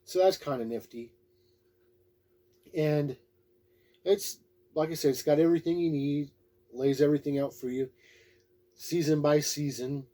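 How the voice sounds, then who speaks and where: A man's voice: a little high, a little slow, pauses often, mellow. A man giving a casual, unscripted review in a folksy, plain-spoken, laid-back way. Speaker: tabletop game book reviewer.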